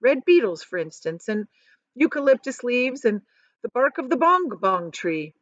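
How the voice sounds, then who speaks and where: Female reader as eccentric chocolate factory boss: proud, excitable storybook showman, lively and high, leaning hard on key words, slightly dull recording. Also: no echo. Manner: theatrical, emphatic, stretching key words.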